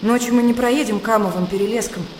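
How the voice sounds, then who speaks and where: Radio drama: haughty, practical gentlewoman played by a woman, composed, dismissive, reverberant room sound. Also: a little high, steady tone, fast talker, close to an everyday voice. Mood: disdainful, cool. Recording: echoing room, faint background noise.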